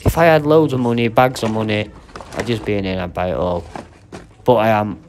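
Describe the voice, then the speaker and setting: A man with an English accent and a low voice talks casually to his viewers as a vlog host. He is chatty and informal, addressing them directly as he browses and comments. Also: fairly quick, background noise. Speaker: video host and reseller. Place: indoor auction warehouse.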